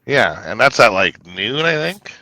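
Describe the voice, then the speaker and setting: A man's voice: mid-range voice, sing-song, a little slow, pushed and bright, low-quality recording. A man co-hosting a wrestling talk podcast in a joking, playful casual chat. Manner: joking, playful, sings along. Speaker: podcast co-host.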